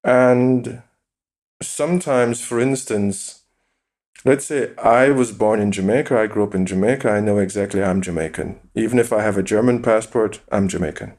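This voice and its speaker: A man as a podcast guest, calmly explaining his view with examples and rhetorical questions. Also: lowish voice, moderate pace. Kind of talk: interview conversation.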